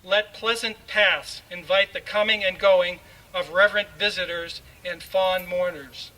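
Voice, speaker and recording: A man's voice: high-pitched, fairly even intonation, a little slow, pushed and bright. A man reading a formal proclamation aloud in a solemn, oratorical manner. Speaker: ceremonial reader. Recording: distant mic, faint background noise.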